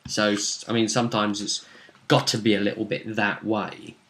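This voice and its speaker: A man's voice: on the low side, level tone, normal speed, fairly bright tone, slight background noise. A man chatting casually as a game master, recapping a tabletop role-playing session.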